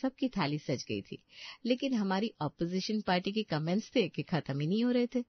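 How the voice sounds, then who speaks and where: A woman's voice: medium pitch, animated, moderate pace, dry recording, highs cut off. A woman narrating a scripted moral story as its storyteller.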